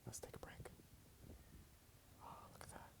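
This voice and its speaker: A man speaking in a soft, hushed ASMR style, musing slowly and repeating his phrases as he narrates his drawing. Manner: hushed, unhurried musing, repeats phrases, asks listener questions. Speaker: host of an ASMR drawing video. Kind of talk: soft-spoken ASMR rambling while drawing. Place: outdoors in a park.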